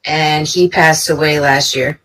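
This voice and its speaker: Young woman from Alabama, video call, slow unhurried delivery, lowish pitch, short laid-back answers. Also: fairly even intonation, a bit bright. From Alabama, United States. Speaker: stranger on a video chat. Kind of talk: casual video chat.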